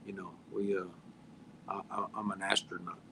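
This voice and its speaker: A man, chatting casually on a podcast, halting with fillers and restarts and mixing in Spanish slang. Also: low-pitched, level tone, vocal fry, background noise. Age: 40s. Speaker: podcast guest.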